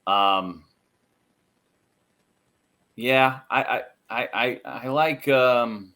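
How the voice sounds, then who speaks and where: An American man, a sports broadcaster, giving casual and measured answers as a podcast guest. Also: medium pitch, sharp tone.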